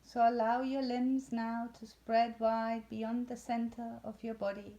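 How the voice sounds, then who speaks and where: Female meditation guide, very slow and soothing, soft-toned and mellow, leaving long pauses. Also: fairly high pitch, little pitch movement, lo-fi sound. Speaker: yoga nidra instructor.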